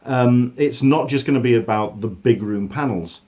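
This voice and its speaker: A man's voice: mid-range voice, normal speed. Chatty English man on a video call. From England. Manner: rambling, restarts often, animated. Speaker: host. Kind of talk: casual livestream chat. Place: home room. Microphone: video call with earphones.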